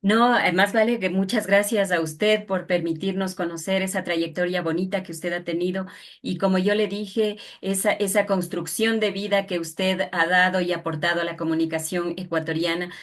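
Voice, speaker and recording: A woman's voice: fairly low pitch, fairly even intonation, normal speed. A woman conducting a conversational interview in a courteous, formal manner.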